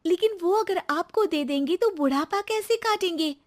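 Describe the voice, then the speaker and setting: Woman acting an earnest, very high-voiced young wife. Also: moderate pace. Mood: pleading. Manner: polite, earnest protesting. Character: earnest, dutiful young daughter-in-law with quiet resolve. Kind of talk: acted moral-story dialogue.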